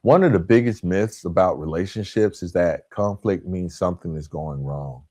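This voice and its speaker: Low-voiced man giving an emphatic motivational talk. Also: warm tone, dry recording, studio quality. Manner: emphatic, instructional, with deliberate pauses and stressed key words. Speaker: podcast host. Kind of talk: motivational self-help monologue.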